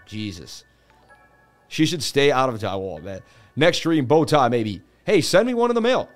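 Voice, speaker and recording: A man's voice: mid-range voice, big pitch swings, moderate pace, faint music behind. A man hosting a casual crypto live stream, rambling and laughing a lot.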